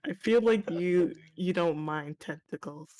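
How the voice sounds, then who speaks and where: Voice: mid-range voice, measured pace, warm tone. A person in a casual group chat, talking hesitantly with trailing ums.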